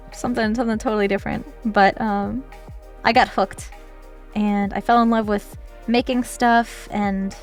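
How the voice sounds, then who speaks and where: A young woman streaming casually while she crafts, chatty and giggly, with lots of "like" and "um.". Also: fairly high pitch. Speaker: streamer, cosplayer.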